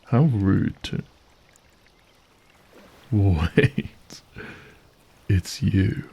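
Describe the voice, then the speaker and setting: Give a husky, low-pitched monster host played by a man for an audio drama. He is mockingly courteous and menacing, taking his time over each phrase in a dark, gravelly murmur. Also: expressive, heavily acted. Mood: amused menace. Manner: theatrical, mock-courteous, deliberate. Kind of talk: scripted audio drama acting.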